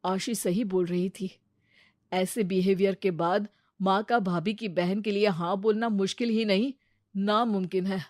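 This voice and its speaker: Woman playing lovestruck younger brother, quiet and earnest, family moral tale, dry close recording. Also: medium pitch, steady tone, measured pace, dry recording, lightly acted. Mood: worried. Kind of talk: Hindi family moral story dramatization.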